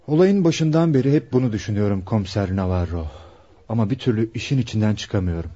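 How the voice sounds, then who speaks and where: An actor playing an earnest young fiancé who helps a police investigation in a Turkish radio play. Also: male voice, on the low side, expressive, fast, dark tone. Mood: earnest, thoughtful. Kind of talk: Turkish radio theatre acting. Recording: dull, muffled old radio drama recording.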